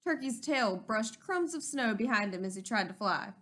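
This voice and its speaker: High, expressive woman reading a storybook. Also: normal speed. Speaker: teacher reading aloud. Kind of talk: expressive storybook narration for children.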